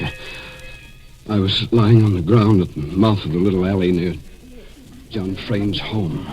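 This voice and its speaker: An actor playing an earnest, halting district attorney in a dramatic 1940s radio thriller. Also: male voice, on the low side, natural voice, low-quality recording. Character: tall, earnest male district attorney telling his ordeal.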